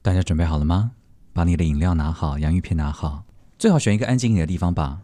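A man with a Taiwanese Mandarin accent hosts in a fairly low voice. He is chatty and casual, slipping English words into his talk. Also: quick pace, mellow. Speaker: podcast host. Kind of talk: casual talk show hosting.

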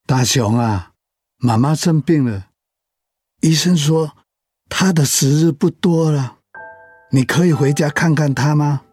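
A man playing a weary, proud elderly father in a radio drama, with a husky voice. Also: on the high side, measured pace, long pauses, darkish tone, dry recording, soft background music. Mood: pleading. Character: weary elderly father, proud but pleading, soothing husband.

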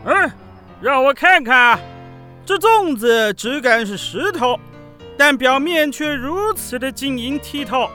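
Pompous, bright-voiced ancient poet judge. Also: high voice, lively intonation, slow, soft background music, exaggerated voice. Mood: awed, savoring. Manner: grandiloquent, savoring, prone to tearful rapture. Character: ancient poet Qu Yuan, pompous, sentimental male contest judge. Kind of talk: children's radio drama acting.

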